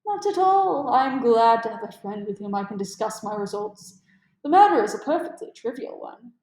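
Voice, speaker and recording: A woman's voice: fairly high pitch, very animated, moderate pace, darkish tone, roomy sound. A woman voicing a keen, amused male detective with precise, formal and emphatic speech in a storybook read-aloud. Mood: amused, self-assured.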